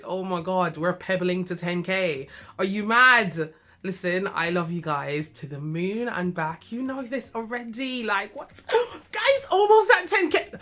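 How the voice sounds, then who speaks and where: A woman's voice: lowish voice, a bit bright. A young British woman reviewing fragrances in a chatty, affectionate way, sounding slightly nasal from a cough. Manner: chatty and affectionate, full of asides to viewers. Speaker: beauty vlogger.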